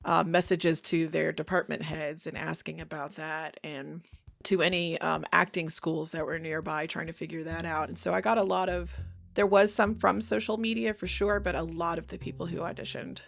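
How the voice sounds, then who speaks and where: Female interview guest, lowish and gravelly with fry, candid, self-deprecating, conversational delivery. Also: little pitch movement, normal speed. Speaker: interview guest, audio drama writer and producer. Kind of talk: casual interview chat.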